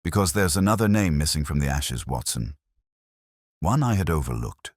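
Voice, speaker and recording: A man voicing a shrewd, grave detective in an audiobook, speaking deliberately and pressing his point. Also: very deep voice, big pitch swings, no echo, studio quality, exaggerated voice. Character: shrewd, grave, probing male Victorian detective. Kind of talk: audiobook character dialogue.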